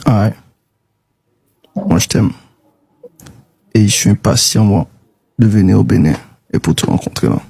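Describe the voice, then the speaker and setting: A man's voice: fairly low pitch, measured pace, gravelly, pauses often, dark tone, breathy edge. A man in an intimate casual conversation, speaking in an affectionate, coaxing way.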